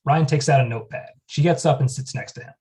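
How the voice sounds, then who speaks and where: A man's voice: medium pitch, quick pace, darkish tone. A man reading the stage directions at a script table read, in a plain, matter-of-fact voice.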